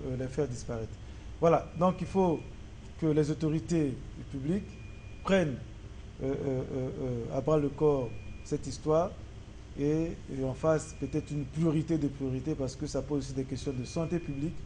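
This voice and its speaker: A man with a Congolese accent hosting a talk show and wrapping up for viewers in a halting, hesitant way, often repeating words. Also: on the high side, mellow, slight background noise, rough recording. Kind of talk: spoken show wrap-up to viewers.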